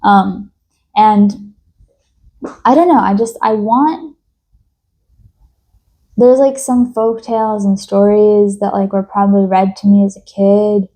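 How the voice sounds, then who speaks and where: A young woman from New York talks in a slow, reflective personal monologue, thoughtful and hesitant, with many fillers and restarts. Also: mid-range voice, steady tone, mellow. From United States. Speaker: vlogger.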